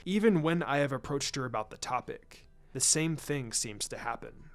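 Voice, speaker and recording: A man voicing a formal, proud clan patriarch and father in a story reading, speaking in a guarded, measured way. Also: mid-range voice, animated, creaky. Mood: troubled, firm. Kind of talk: fan fiction story reading. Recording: music under the voice.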